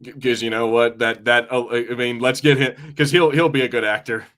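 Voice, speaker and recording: A man's voice: mid-range voice, steady tone, brisk, a bit bright. A man co-hosting a podcast in casual film chat, rambling informally and often cutting himself off. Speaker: podcast co-host. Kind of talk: casual watch-party chat about films.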